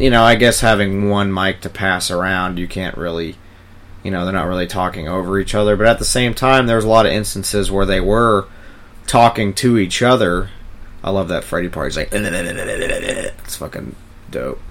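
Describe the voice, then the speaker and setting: Casual male talker, deep and creaky, loose meandering delivery, feeling his way through thoughts. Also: normal speed, a bit bright. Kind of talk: casual rambling chat with frequent profanity.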